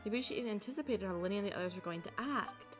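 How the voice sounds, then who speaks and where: A woman's voice: mid-range voice, lively intonation, rapid-fire. A woman livestreaming a video game, chatting casually and reading dialogue aloud. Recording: music behind the voice. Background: game music.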